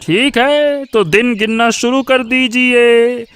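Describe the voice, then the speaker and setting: High-pitched, mocking young village bully. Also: male voice, fairly bright tone, clear, resonant tone, full character voice. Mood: menacing, smug. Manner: taunting, drawn-out words with gloating laughs. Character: menacing, mocking young village bully, rich merchant's son. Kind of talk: Hindi audio story dramatic acting.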